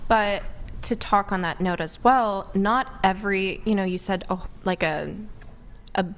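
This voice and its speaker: A woman's voice: mid-range voice, a little slow, clear, resonant tone. A woman speaking reflectively as a guest on a panel discussion.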